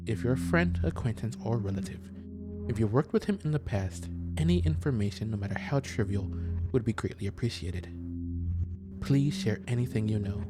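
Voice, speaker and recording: A man's voice: lowish voice, animated, moderate pace. Man streaming a game over music. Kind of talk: casual let's play commentary.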